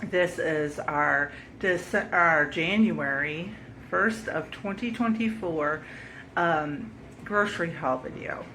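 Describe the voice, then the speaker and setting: Stuffed-up woman homesteader for a laid-back grocery haul vlog; slow, rambling, self-correcting, light room noise. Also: lowish voice, sharp tone. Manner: chatty, with many ums and self-corrections. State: stuffed up from a head cold. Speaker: homesteading vlogger.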